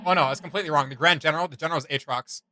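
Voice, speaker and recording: Bright, close-up casual reaction host. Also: medium pitch, racing, no echo. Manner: joking, informal.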